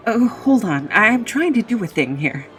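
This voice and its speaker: An actress playing a haunted, awkward, world-weary wanderer with a halting, hesitant delivery in an audio drama. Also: female voice, mid-range voice, very animated, fairly bright tone. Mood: flustered.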